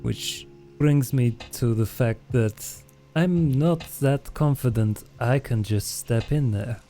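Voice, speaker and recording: A man voicing a calculating, self-interested vampire in a tabletop roleplay, with a dry, deliberate delivery. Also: medium pitch, warm tone, soft background music. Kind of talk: actual play roleplay dialogue.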